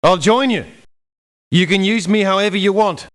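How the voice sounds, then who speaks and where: Snooty doctor merc for a game, sardonic, scolding, voice a bit on the high side. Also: male voice, moderate pace, fairly bright tone. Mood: haughty. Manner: haughty, scolding, articulate. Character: proud, sardonic male doctor turned mercenary. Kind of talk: video game character lines.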